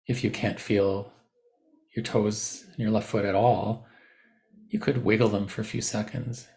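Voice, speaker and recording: A man leading a guided meditation, giving gentle, unscripted instructions with softened, informal phrasing. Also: on the low side, flat delivery, measured pace, creaky.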